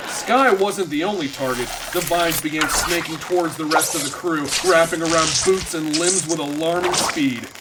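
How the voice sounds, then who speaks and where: A man's voice: on the high side, normal speed, few pauses, very noisy, lightly acted. A man playing a melodramatic storyteller narrating a sci-fi comedy radio drama. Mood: ominous, dramatic. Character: dramatic male storyteller of space adventures.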